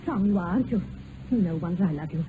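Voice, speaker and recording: An actress playing a provocative, bitter young aristocratic wife in a theatrical, mocking radio-drama style. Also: female voice, lowish voice, animated, quick pace, natural voice. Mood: taunting. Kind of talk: old radio drama acting. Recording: muffled, noisy old radio recording.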